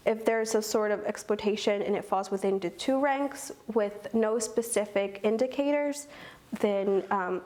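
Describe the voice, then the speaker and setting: A woman's voice: mid-range voice, darkish tone. Earnest young woman explaining with many ums. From Owensboro, Kentucky. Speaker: guest, program coordinator. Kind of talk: interview answers.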